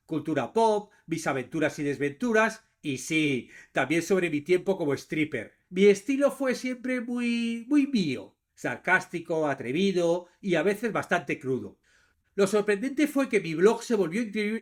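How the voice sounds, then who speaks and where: A man from voicing a candid, irreverent woman screenwriter who narrates her own story in a confiding podcast monologue. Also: sits high. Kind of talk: first-person scripted narration.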